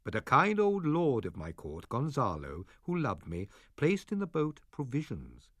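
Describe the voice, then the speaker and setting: A man reading the part of an aged sorcerer and father, with a husky, measured and solemn delivery. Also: medium pitch, huge pitch swings, a bit bright, no room sound, clean studio sound, exaggerated voice. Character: aged male sorcerer, stern but loving father. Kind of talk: audiobook drama reading.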